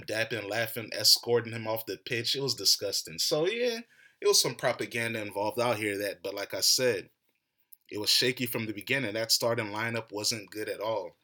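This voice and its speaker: A man's voice: on the low side, sharp tone. A young American man hosting a podcast, ranting hotly and mockingly about a soccer match. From United States. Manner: heated, mocking rants. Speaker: podcast host. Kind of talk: animated solo soccer commentary.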